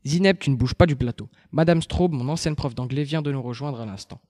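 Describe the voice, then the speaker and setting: A man's voice: medium pitch, brisk. A teenage male student hosting a radio show, politely thanking guests and introducing segments. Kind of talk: radio presenting.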